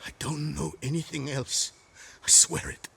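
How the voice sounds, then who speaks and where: A man's voice: a little high, steady tone, a little slow, creaky, bright, forceful tone, slight background noise, heavily acted. An actor playing a frightened captured bandit in a video game, crude and stammering as he protests. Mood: cowed confessing. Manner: panicked stammering, crude taunting. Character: terrified captured bandit, defiant then cowering and pleading. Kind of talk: dramatic video game acting.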